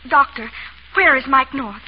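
High-voiced anxious society wife, old radio. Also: female voice, measured pace. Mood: anxious, pleading. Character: anxious, pleading society wife with a guilty secret. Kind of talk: 1930s radio melodrama acting. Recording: muffled, hissy 1930s radio recording.

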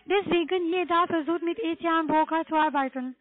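A woman with a foreign accent giving a halting, formal sales pitch as a telemarketer on the phone. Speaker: telemarketing caller, trading broker. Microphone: telephone call. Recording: tinny narrow-band phone line.

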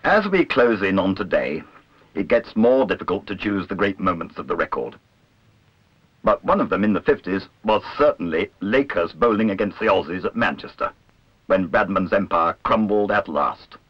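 A man reading a literary documentary narration in a measured, wry way. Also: sits low, expressive, no echo. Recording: dull lo-fi old film soundtrack, clear noise.

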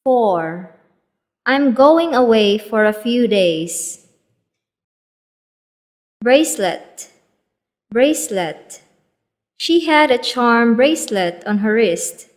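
A woman reading vocabulary for a children's English lesson, saying each word twice and then an example sentence, slowly and evenly. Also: on the high side. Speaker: vocabulary teacher. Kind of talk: slow scripted word-drill reading.

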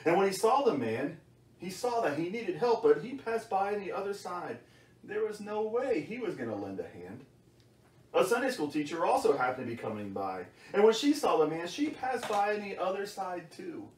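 Middle-aged male storyteller, theatrical and highly animated, playful bedtime reading, picked up from across a room. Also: moderate pace. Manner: expressive, theatrical, addressing a child-like listener. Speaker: storyteller for a church's children's series. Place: small wood-panelled bedroom indoors. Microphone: camera across the room. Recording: roomy sound, distant microphone.

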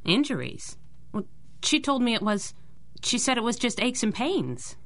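A woman playing a brash, wisecracking young working woman in a 1930s-style radio drama, slangy and quick-witted. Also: fairly high pitch, big pitch swings. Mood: concerned.

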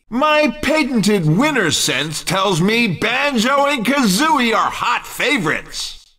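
An actor playing an excited, jokey racing commentator in a video game, with punchy, showy delivery. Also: male voice, very high-pitched, far from the mic, full character voice. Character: hyped, jokey male arcade racing commentator. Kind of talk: excited sports commentary voice lines.

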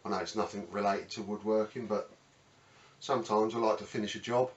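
Low-voiced British man, roomy distant sound. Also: steady tone, a little fast, lo-fi sound. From United Kingdom. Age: middle-aged. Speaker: host of a woodworking channel. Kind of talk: casual workshop tour, unscripted. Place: small cluttered indoor home workshop. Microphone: camera mic a few metres away. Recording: roomy, distant mic, faint background noise.

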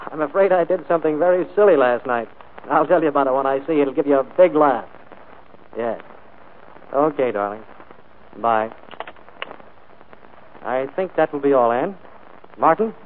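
An actor playing a wealthy, proud and urbane employer in a 1940s radio drama, speaking in a clipped, imperious way. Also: male voice, on the high side, animated, normal speed, pauses often, close to an everyday voice. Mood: smooth, breezy. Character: wealthy, commanding employer, suave and proud gentleman. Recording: tinny, noisy 1940s radio broadcast.

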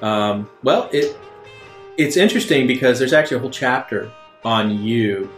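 A man with a mid-pitched voice works as game master, improvising narration in a halting, conversational flow. He sounds like he is in an echoey room, with guitar music underneath. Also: animated. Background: soft guitar music.

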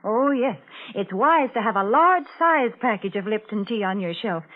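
A woman's voice: on the high side, expressive, normal speed, narrow, tinny audio. A woman acting a chirpy, persuasive sponsor's spokeswoman in a polished 1940s radio commercial style, on an old recording. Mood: eager, persuasive. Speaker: advertising spokeswoman.

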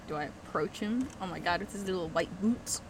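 A young woman streamer giving excitable, giggly commentary while playing, on a headset mic. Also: fairly low pitch, fairly quick, slight background noise. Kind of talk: casual gameplay commentary.

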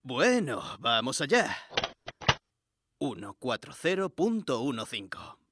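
A man's voice: medium pitch, sing-song, slow pace, shouting. A man playing an irritable, cocky, crude soldier hero in a comic cartoon parody dub, with an accent from Spain. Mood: cocky, casual. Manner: crude and irritable, jumps to outbursts. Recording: noisy amateur dub recording.

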